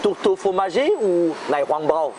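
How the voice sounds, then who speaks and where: A man's voice: high voice, unhurried. Man interviewer on a noisy street. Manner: brief friendly questions. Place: outdoors on a city street. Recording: street noise behind.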